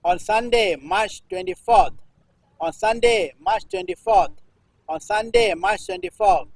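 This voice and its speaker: A man in his sixties from Singapore, a performance artist reading news text aloud in halting runs, restarting phrases and repeating a refrain. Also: high voice, no echo, low-quality recording. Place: temple-like cage at an art festival.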